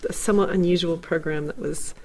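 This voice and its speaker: Thoughtful low-voiced woman from New England. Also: fairly even intonation, dark tone, slight background noise. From New England, United States. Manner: thoughtful, many restarts, quick bursts of animation. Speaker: guest, environmental studies director. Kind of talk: radio interview.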